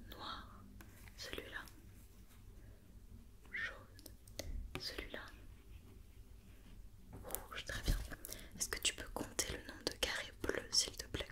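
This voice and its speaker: Female ASMR artist as examining doctor: fully whispered, breathy, patient and methodical, repeating gentle instructions and questions. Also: takes it slowly, long pauses. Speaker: ASMR performer playing a doctor examining a robot. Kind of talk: whispered ASMR medical check-up roleplay.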